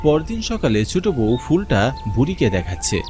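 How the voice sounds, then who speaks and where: A man's voice: a little high, very animated, brisk, over music. A man reading scripted narration for a fairy-tale story.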